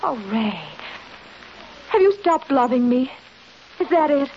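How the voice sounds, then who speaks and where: A spirited young heiress, brisk and sardonic, with a lively voice that rides a little high. The sound is a muffled old radio recording with clear hiss. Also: female voice. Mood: pleading. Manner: brisk, sardonic, then pleading. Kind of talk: 1930s radio acting.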